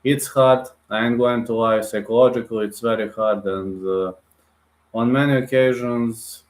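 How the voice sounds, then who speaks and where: Man, late 30s, livestream host, blunt chat with viewers, mid-pitched voice, echoey room. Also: fairly even intonation, normal speed. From Bulgaria. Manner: direct, blunt, addresses viewers as guys, non-native phrasing. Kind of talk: casual livestream chat, reading and answering viewer comments. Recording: roomy echo.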